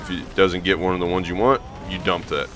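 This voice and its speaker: A hoarse man with a gravelly voice, rambling casually through gameplay commentary with lots of ums and restarts. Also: low voice, steady tone, brisk. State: hoarse from allergies. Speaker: gaming channel host.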